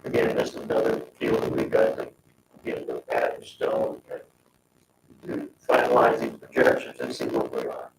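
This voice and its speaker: A man speaking informally at a board meeting, halting and repeating phrases as he explains. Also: very deep voice, completely flat delivery, vocal fry. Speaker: parks board member. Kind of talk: informal public meeting discussion. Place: indoor town board meeting room. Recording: echoey, noisy meeting room, distant mic.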